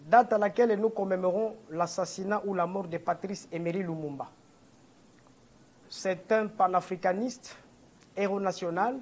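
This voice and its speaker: A man's voice: high-pitched, darkish tone. A man with a Congolese French accent making a statement at a press conference as a political movement leader, earnest and often correcting and repeating himself. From DR Congo, Kongo Central.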